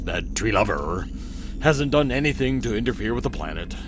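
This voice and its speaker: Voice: medium pitch, big pitch swings, normal speed, noisy background, natural voice. An actor playing an exasperated, easily swayed spaceship captain in an audio drama, theatrical and blustering. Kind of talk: scripted audio drama acting.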